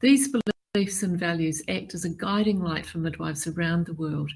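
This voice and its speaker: Husky-voiced woman giving a keynote. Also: lowish voice, a little mellow. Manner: measured, deliberate delivery with listed points. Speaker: keynote speaker. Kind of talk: formal prepared keynote address.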